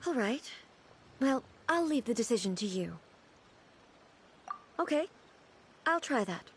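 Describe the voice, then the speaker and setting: Dignified, higher-pitched goddess ruler. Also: female voice, sing-song, slight background noise, full character voice. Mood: self-conscious, hesitant. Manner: formal, measured, puzzled, deliberate. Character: dignified, earnest, naive female ruler deity, reflective and formal. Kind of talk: video game character dialogue. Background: background game music.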